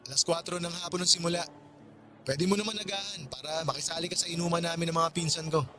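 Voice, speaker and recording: Actor as high-pitched, friendly male pal. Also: steady tone, fast, background noise, natural voice. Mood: cheerful, inviting. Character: friendly, polite male friend inviting warmly by phone. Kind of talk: Tagalog radio drama acting.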